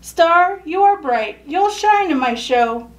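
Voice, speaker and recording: A woman's voice: very high-pitched, animated, takes it slowly, recorded from a distance, full character voice, poor audio. A woman voicing a bossy, self-assured triangle who directs a show in a picture book, commanding and dismissive. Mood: brisk, judging.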